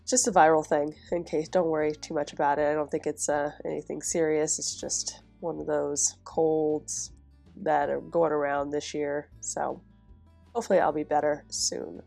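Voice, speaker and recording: Stuffy nasal woman, low and a bit gravelly, chill chatty planner voice-over. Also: moderate pace, faint music behind. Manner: chatty, relaxed, with soft laughs. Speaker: planner vlogger. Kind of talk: casual voice-over while decorating a planner.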